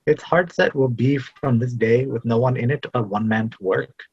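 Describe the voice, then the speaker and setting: Casting: actor as firm young island son, raspy medium-pitched voice, practical, rural tragedy. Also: male voice, normal speed, a little mellow, dry recording, highs cut off. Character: resolute young Irish seafaring son. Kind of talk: stage drama read as virtual play.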